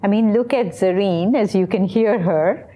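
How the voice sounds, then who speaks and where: Woman, company founder, articulate, explanatory, mid pitch. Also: normal speed, mellow. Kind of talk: explanatory interview talk.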